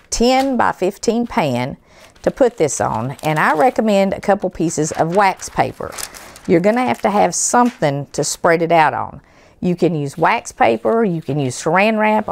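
A middle-aged woman, hoarse from a cold, explaining a recipe in a homey, chatty way in her home kitchen. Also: on the low side, a little hiss or hum. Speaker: cooking show host. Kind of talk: home cooking demonstration.

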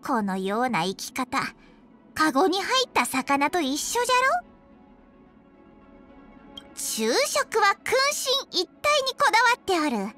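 A woman's voice: high-pitched, very animated, slow pace, pauses often, sharp tone, soft background music, exaggerated voice. A woman voicing a small, proud, playful dragon girl healer for a video game, talking in an archaic, self-important old-timer's way with a child's voice. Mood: proud, earnest. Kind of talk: acted video game character voice lines.

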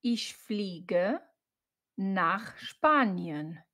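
A woman reading short example sentences for learners, saying each one twice in clear, separate chunks. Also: mid-range voice, expressive, extremely slow, a bit bright. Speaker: German teacher.

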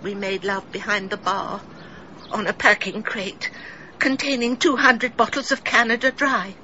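A woman's voice: medium pitch, little pitch movement, unhurried, sharp tone, lo-fi sound, slight background noise. An actress playing a genteel middle-aged English village woman in a radio drama, confessing in a wistful, melodramatic, theatrical way. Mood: sheepish, fond. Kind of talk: British radio drama acting.

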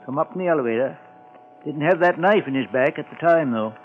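Man as folksy, highish-voiced elevator operator. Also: quick pace, narrow, tinny audio, natural voice. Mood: gossipy, dim. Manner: folksy, literal-minded, gossipy. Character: folksy, slow-witted chatty male elevator operator. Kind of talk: 1950s radio acting. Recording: noisy, thin vintage radio recording.

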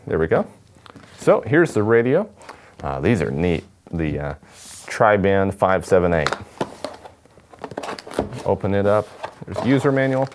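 Low-voiced young man, casual demonstrator. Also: sing-song, measured pace, pauses often, slight background noise. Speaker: product demonstrator. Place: indoor studio at a table.

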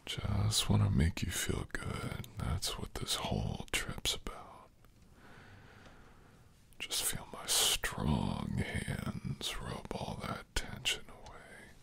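Very deep, gravelly, breathy boyfriend played by a man. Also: wildly swooping pitch, a bit bright, whispered, full character voice. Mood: soothing, sensual. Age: young adult. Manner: breathy, murmured, slow and soothing. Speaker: audio roleplay voice actor. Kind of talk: intimate boyfriend ASMR roleplay.

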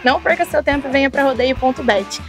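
A woman's voice: sits high, quick pace, faint music behind. Upbeat woman reading an ad. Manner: upbeat, selling. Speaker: ad voice-over. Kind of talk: scripted advertisement.

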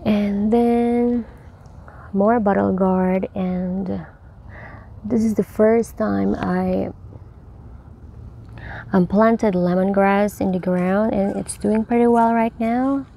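A woman's voice: mid-range voice, fairly even intonation, takes it slowly, vocal fry, pauses often, warm tone, slight background noise. A woman giving a cheerful, chatty tour of her backyard garden, talking to viewers while filming outdoors on a handheld phone. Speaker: home gardener. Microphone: handheld phone camera.

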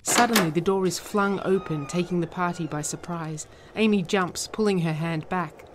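A woman reading stage directions as scripted narration, in a measured, descriptive manner. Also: on the low side, a little hiss or hum.

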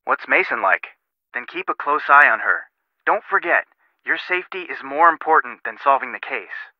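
An actor playing a helpful, wry young amateur detective giving earnest advice in a video game. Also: male voice, mid-range voice, lively intonation, moderate pace, no room sound, highs cut off, natural voice. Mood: advising, curious. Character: helpful, sharp young male amateur detective advising by phone.

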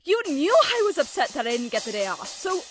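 A woman's voice: high voice, very animated, brisk, no echo, faint music behind. A woman voicing a fretful, hot-tempered young college-age girlfriend in a cartoon. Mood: angry, hurt. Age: young adult. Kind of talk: animated cartoon acting.

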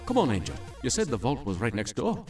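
A man's voice: mid-range voice, wildly swooping pitch, fast, darkish tone, soft background music. A man playing a kind-hearted, anxious seaman in an audio drama. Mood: brisk.

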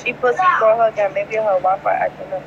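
A young woman with a New York accent touched by the South talks casually to a livestream. Her language is slangy, blunt and full of swearing. She holds a phone at arm's length in a big indoor terminal, with loud ambient noise all around her. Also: mid-range voice, steady tone, quick pace, gravelly, muffled recording. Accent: New York mixed with Southern. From New York, United States. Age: young adult. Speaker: livestream host, rapper. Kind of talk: casual livestream chat, reading out comments. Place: large indoor airport terminal.

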